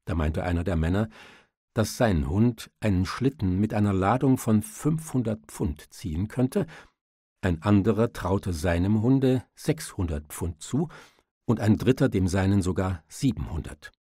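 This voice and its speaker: A male audiobook narrator reading literary prose in a lowish, dark voice. It has a husky grain, and the recording is dry and close. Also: expressive, a little slow, no echo.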